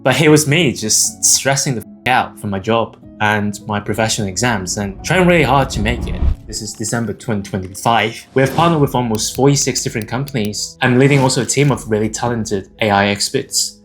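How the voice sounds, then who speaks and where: A man's voice: mid-range voice, fairly quick, hardly any pauses. A man in his twenties from Hong Kong, telling his personal story to camera in a candid, conversational and informal way. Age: 20s. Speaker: video creator and AI agency founder. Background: acoustic guitar music.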